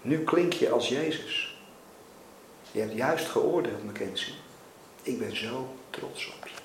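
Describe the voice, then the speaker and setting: A man's voice: sits high, very animated, normal speed, vocal fry, darkish tone, pitched above the performer's own voice, heavily acted. A man reading the part of God from a novel, a probing and insistent questioner who can turn tender. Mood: quiet, firm. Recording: echoey room with faint noise.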